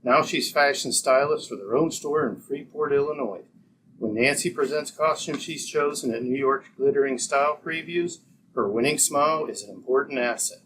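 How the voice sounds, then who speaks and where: A man's voice: medium pitch, normal speed, fairly bright tone, rough recording. A man reading an old-time radio ad as the announcer, in a polished promotional manner. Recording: clear background noise.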